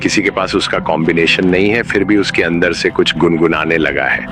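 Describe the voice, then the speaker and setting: A man narrating a scripted horror story in a measured, ominous manner. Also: low-pitched, fairly even intonation, music in the background.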